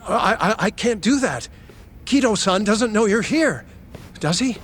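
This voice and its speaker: A man's voice: high voice, lively intonation, unhurried, fairly bright tone, a little hiss or hum. A man playing a nervous, defensive research scientist in a video game, with a flustered, faltering delivery. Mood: startled, nervous. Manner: stammering, flustered. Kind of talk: video game drama acting.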